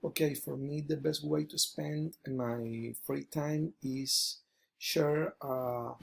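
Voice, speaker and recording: A man's voice: on the high side, steady tone, slow, gravelly, husky. A young man from Colombia with a Colombian Spanish accent, a Spanish native speaker, answering interview questions in English and restarting his answers over and over with many fillers.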